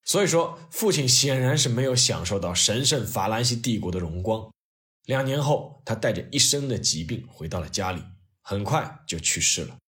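A man narrating a scripted history story as its host, in an expressive, conversational storytelling manner. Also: on the low side, normal speed.

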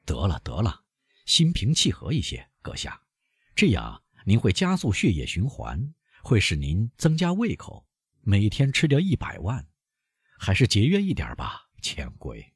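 A man voicing a cold, calm male bandit chief, husky, low and quiet, flat and unmoved. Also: unhurried, no room sound, clean studio sound, full character voice. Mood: mocking.

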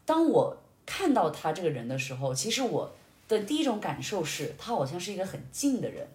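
Animated, low-pitched woman in a roomy space. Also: brisk. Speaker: podcast guest. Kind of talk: reflective conversational interview talk. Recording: roomy, echoey sound.

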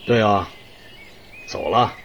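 A man's voice: on the low side, slow, fairly bright tone, a little hiss or hum. Guy acting a laid back reassuring coworker in a radio drama, bit dull sound, birds behind. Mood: relieved, casual. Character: easygoing, reassuring male colleague.

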